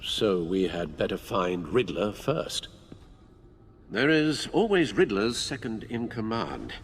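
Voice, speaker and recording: An actor playing a loyal older butler in a video game, refined, formal and concerned. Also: male voice, fairly low pitch, sing-song, slow, slight background noise, heavily acted. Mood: concerned, advisory.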